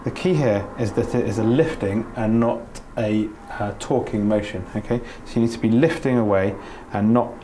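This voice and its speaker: A man's voice: lowish voice, normal speed, few pauses, warm tone, a little hiss or hum. A middle-aged man, a doctor, giving spoken practical instruction in an informal, explanatory manner. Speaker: doctor giving a training demonstration.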